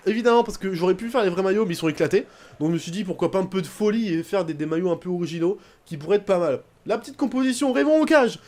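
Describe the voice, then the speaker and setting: Young male gaming host, casual running commentary, chatty and excitable, a touch high-pitched. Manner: chatty, rambling, bursts into excited shouting at goals. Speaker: gaming YouTuber.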